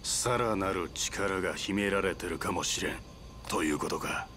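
Rugged, easygoing mentor. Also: male voice, low voice, moderate pace, bright, forceful tone, slight background noise. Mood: casual, interested. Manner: rough, familiar, casual. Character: rugged, easygoing middle-aged mercenary mentor. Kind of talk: anime-style game voice acting. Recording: music behind.

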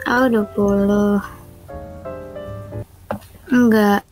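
A teenage girl answering briefly and softly on a late-night video call. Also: medium pitch, extremely slow, loud music behind the voice, poor audio. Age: teens. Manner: soft-spoken, short flat replies. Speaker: video chat stranger. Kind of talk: shy casual video-call chat. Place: small room at night. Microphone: webcam video call.

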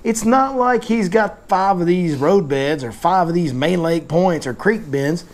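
A man, hosting a casual fishing seminar and talking in animated bursts in a tackle shop. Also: sits high. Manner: animated, gestures a lot, fast bursts. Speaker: fishing guide and seminar host. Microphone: lapel mic.

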